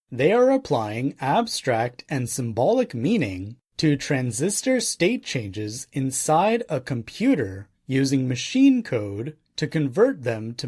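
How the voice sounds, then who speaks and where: Slow, husky male audiobook narrator. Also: mid-range voice, a little mellow, no echo, clean studio sound. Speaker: audiobook reader. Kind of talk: scripted audiobook narration of nonfiction.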